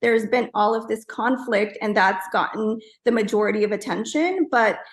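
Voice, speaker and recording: A woman's voice: fairly high pitch, moderate pace. Earnest woman designer in an echoey room. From Afghanistan. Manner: earnest, many fillers like "you know" and "um". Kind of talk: reflective storytelling in an interview.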